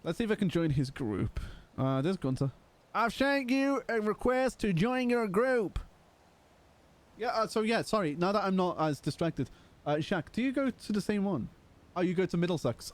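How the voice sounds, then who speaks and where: A hoarse young man, chatting casually and laughing as he streams a roleplay game. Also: sits high, very animated, normal speed, pauses often. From Liverpool, England. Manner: chatty, laughs often, calls people brother. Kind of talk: casual live-stream chat.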